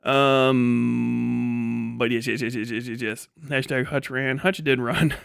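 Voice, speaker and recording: A man's voice: mid-range voice, steady tone, slow pace, vocal fry, a bit bright, smooth, dry recording, clean studio sound. A man in his late thirties hosting a casual livestream, rambling and swearing freely with a self-deprecating manner. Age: late 30s. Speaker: livestream host.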